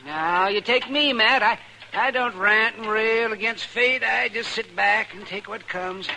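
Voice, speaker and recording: An actor playing a wry, money-minded American town doctor in a 1950s radio western, talking in a folksy, teasing, halting way. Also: male voice, sits high, creaky, bright, forceful tone, heavily acted, low-quality recording. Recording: old radio recording, faint noise.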